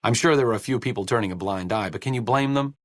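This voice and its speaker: An actor playing a sarcastic, idealistic wasteland doctor in a video game, with an American accent and a dry, articulate manner. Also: male voice, medium pitch, fast, dry recording, natural voice. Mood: dry, explanatory. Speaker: video game companion character. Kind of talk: video game voice acting, conversational dialogue.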